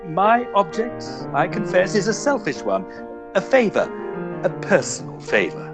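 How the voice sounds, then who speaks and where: An actor playing a scheming middle-aged gentleman suitor in radio melodrama, florid, obsequious and overwrought. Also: high voice, wildly swooping pitch, measured pace, over music, full character voice. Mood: ingratiating. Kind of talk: old-style radio theatre melodrama acting.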